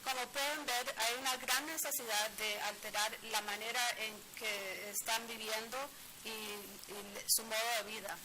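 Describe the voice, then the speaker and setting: A woman's voice: fairly high pitch, steady tone, background noise. A woman interpreting a presentation consecutively, with fillers and self-corrections, seated on a studio set. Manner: brisk, with fillers and restarts.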